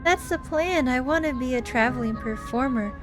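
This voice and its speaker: Woman voicing an eager, high-voiced little kid. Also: normal speed, lightly acted. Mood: eager, dreamy. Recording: music behind the voice.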